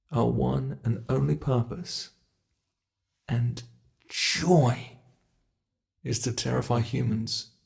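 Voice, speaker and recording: A man's voice: medium pitch, creaky, darkish tone, exaggerated voice. A man voicing an ancient, playful monster under the bed, with a British accent, teasing and menacing in a whispery ASMR roleplay.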